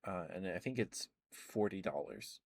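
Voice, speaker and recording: A man rambling casually about video games in a soft-spoken ASMR stream, full of ums and uhs. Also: deep voice, lively intonation, a little slow, no echo.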